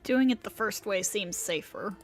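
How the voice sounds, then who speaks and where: A woman livestreaming a game with casual commentary, musing aloud in an unhurried way. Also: medium pitch, faint music behind. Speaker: game streamer.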